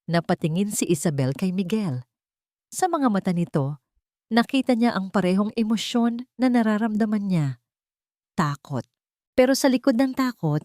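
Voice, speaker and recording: A woman reading a scripted romance story in a dramatic, deliberate storytelling manner. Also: mid-range voice, a little mellow, no room sound, clean studio sound. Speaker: story narrator.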